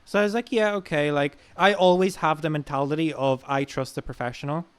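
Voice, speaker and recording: A young Irishman chats as a guest on a podcast, telling stories loosely and with plenty of swearing. His voice sits a little higher than most men's and sounds clear and smooth. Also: a little fast. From Ireland. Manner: informal, sweary. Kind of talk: casual chat, personal storytelling.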